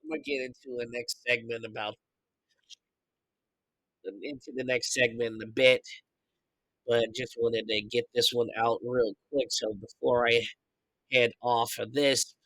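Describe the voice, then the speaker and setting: A man hosting a podcast, congested from a recent illness, venting his opinions in a loose, profane, animated way. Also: medium pitch, vocal fry, poor audio. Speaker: podcast host. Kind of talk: casual opinion commentary.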